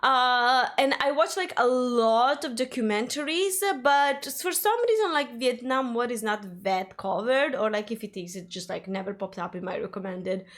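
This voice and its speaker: A woman's voice: a little high, expressive, moderate pace, hardly any pauses, a bit bright. A woman whose first language is Ukrainian, chatting casually and rambling with many fillers as she hosts a reaction video.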